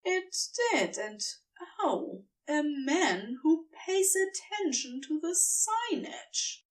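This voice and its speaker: Lady narrating a story, slow and really animated, sounds a bit away from the mic. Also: high-pitched, distant mic.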